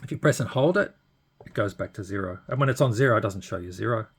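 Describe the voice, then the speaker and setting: A man's voice: lowish voice, animated, fast, breathy edge. A man giving a casual, unscripted hands-on demonstration, hesitant and thinking aloud as he goes. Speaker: hobbyist demonstrating radio equipment.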